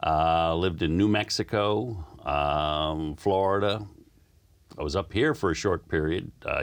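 A very deep-voiced man with a slight Southern American lilt, chatting easily as a guest. He talks in a relaxed, rambling way, drifting from one memory to the next. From United States. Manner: easygoing, rambling storyteller. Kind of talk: relaxed conversational interview.

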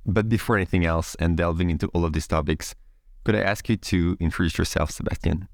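A man hosting a podcast, reading a scripted introduction and then asking interview questions in measured, formal phrasing. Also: sits low, steady tone, dry recording, clean studio sound. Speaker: podcast host.

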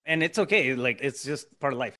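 A man's voice: mid-range voice, a little fast, sharp tone. Mexican-accented man explaining business steps. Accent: Mexican Spanish. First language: Spanish. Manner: explanatory, lays out steps, frequent tag questions. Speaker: podcast guest, real estate investor. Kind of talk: casual interview talk.

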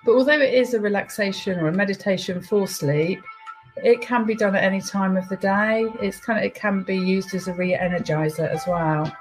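A woman leading a guided relaxation meditation, giving soothing, unhurried instructions with pauses. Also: medium pitch, steady tone, low-quality recording. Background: music behind the voice.

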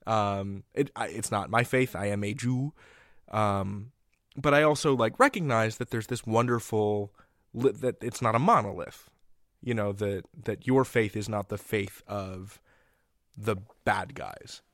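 A man's voice: fairly low pitch, a little slow, a bit bright, no echo, clean studio sound. A man hosting a casual interview, feeling his way into sentences and repeating his first words. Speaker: podcast interviewer.

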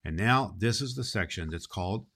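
Jolly old Santa played by a man for a children's holiday show; fairly low voice, folksy, emphatic delivery. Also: animated, normal speed, a bit bright. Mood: cheerful, welcoming. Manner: folksy, emphatic, repeats phrases. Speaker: host. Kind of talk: children's holiday show hosting and story reading.

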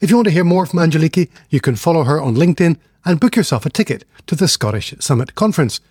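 A man's voice: on the high side, lively intonation, fast talker, darkish tone, breathy edge, dry recording, studio quality. A man hosting a tech podcast, reading a friendly scripted intro and then asking conversational questions. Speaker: podcast host. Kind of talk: podcast interview.

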